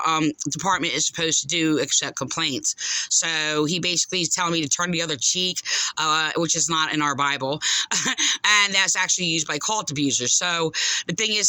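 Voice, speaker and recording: A woman caller making a complaint in unscripted speech, aggrieved and insistent, halting and rambling as she goes. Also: on the low side, bright, forceful tone, no echo, low-quality recording.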